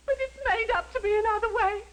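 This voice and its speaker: An actress playing a whining, servile maidservant who makes a martyr of herself, in theatrical period radio drama style. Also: female voice, very high voice, normal speed, fairly bright tone, a little hiss or hum. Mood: tearful martyrdom. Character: shrill, martyred, fanatical maidservant fawning on her mistress.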